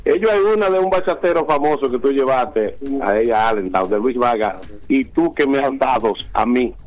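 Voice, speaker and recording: A man's voice: medium pitch, expressive, moderate pace, darkish tone, faint music behind, poor audio. A man on a radio show quoting a bachata title for the others.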